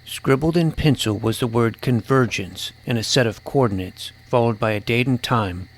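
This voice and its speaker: A man's voice: medium pitch, moderate pace. A man narrating a first-person scripted audio drama as its young protagonist, earnest and precise.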